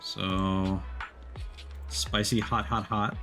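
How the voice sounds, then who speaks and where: A man's voice: on the low side, level tone, very slow. A man giving casual live commentary while playing a video game, musing aloud in a conversational way. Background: music behind the voice.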